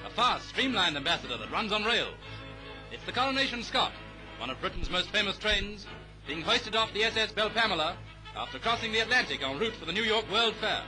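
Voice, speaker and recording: High-pitched British 1930s newsreel man. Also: very animated, brisk, low-quality recording. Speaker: newsreel narrator. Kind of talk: 1930s newsreel scripted narration. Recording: vintage 1930s newsreel soundtrack. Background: music.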